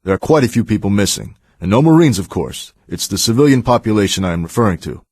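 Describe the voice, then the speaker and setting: An actor playing a stern, steady male Marine sergeant, giving a firm, formal audio report in a video game. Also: on the low side, fast, breathy edge, no echo. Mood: guarded, steady. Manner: firm, formal, matter-of-fact.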